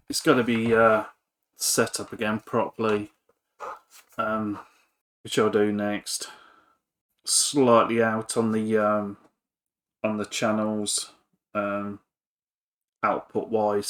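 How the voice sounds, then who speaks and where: A man's voice: medium pitch, fairly bright tone. A man giving an informal, hesitant, rambling commentary on a repair at his workbench, with a self-effacing manner. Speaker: hobbyist electronics repairer and video presenter.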